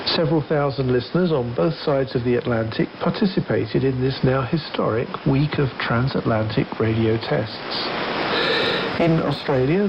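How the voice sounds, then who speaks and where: A man's voice: medium pitch, gravelly. A man presenting a radio programme, reading scripted history narration over a shortwave broadcast. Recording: dull shortwave radio reception with heavy hiss.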